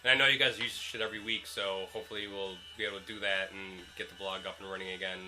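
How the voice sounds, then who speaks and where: A man's voice: low-pitched, level tone, quick pace, music in the background, poor audio. A man, co-hosting a casual comics chat, sarcastic and dry-humored while getting over a cold. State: recovering from illness, coughing. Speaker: co-host.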